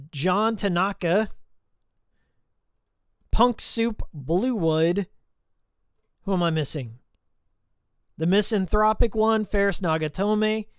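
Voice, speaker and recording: Guy streaming a game, chill rambly talk, bit hoarse, kinda high voice, smooth. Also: no echo, clean studio sound. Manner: relaxed, rambling, reading out viewer names slowly. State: slightly hoarse from a long stream. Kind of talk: casual live-stream chat.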